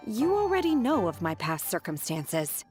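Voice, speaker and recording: A woman voicing a reserved, blunt young woman who speaks calmly and literally. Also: mid-range voice, moderate pace, natural voice. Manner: calm, flat and literal. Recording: game audio with soft music.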